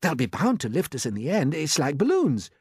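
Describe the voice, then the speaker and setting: Young storybook boy, read by a man: earnest, polite, determined, higher register. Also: huge pitch swings, fairly quick, breathy edge, no room sound, studio quality, exaggerated voice.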